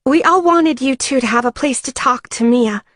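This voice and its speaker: Caring female friend in an audiobook, higher voice, heartfelt and emphatic, dry recording played by a woman narrator. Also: moderate pace, fairly bright tone, no echo. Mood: tender. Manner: earnest, emphatic.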